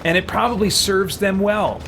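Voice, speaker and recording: Male game streamer, slightly higher pitch, casual thinking-aloud commentary, game music underneath. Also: fairly even intonation, moderate pace, gravelly. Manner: musing, rambling, thinking aloud. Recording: music playing behind the voice.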